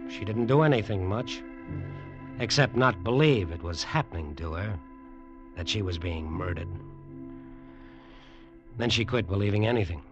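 A man acting a cold, calculating noir tough guy in an old American radio play. His low voice narrates in terse, deliberate lines, with prominent music behind him on the vintage broadcast. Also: lively intonation, highs cut off, close to an everyday voice. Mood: cold, detached. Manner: hard-boiled, terse, deliberate. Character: cold, calculating, smooth-talking male bodyguard and killer narrating. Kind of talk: 1940s-50s radio drama noir narration.

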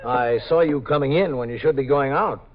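A man with an American accent playing a weary, steady police captain in an understated 1950s radio drama. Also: medium pitch, a little fast, close to an everyday voice. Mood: casual, attentive. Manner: matter-of-fact, understated. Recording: muffled 1950s radio recording.

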